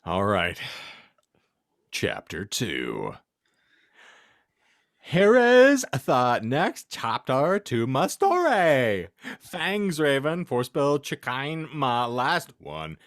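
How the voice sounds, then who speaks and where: A man's voice: medium pitch, wildly swooping pitch, extremely slow, a bit bright, no echo, clean studio sound. A man hosting a comedy podcast, reading fan fiction aloud theatrically with jokey, sweary banter. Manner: jokey, sweary, theatrical delivery of misspelled text. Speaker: podcast host.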